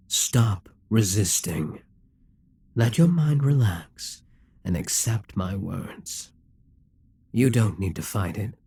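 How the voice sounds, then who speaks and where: A man voicing a possessive, scheming demon king in an audio roleplay, calm and commanding, slipping into whispers as he coaxes. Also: fairly low pitch, husky, no room sound, full character voice. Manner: slow, commanding, coaxing, drops to whispers.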